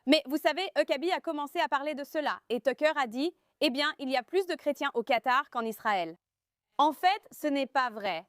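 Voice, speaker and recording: A woman panelist on a talk show, explaining her view quickly. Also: sits high, expressive, a bit bright, no room sound, clean studio sound.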